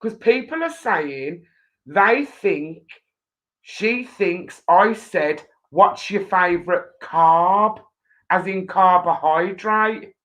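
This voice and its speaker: A woman's voice: on the low side, expressive, extremely slow, poor audio. A woman hosting a casual live chat show, with a playful, bantering and teasing manner. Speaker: talk show host.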